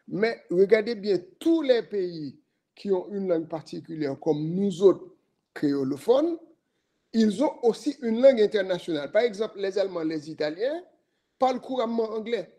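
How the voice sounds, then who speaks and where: Middle-aged man, jury member; high, mellow voice, measured and probing. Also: expressive. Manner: deliberate, questioning, professorial. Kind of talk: academic remarks at a thesis defense.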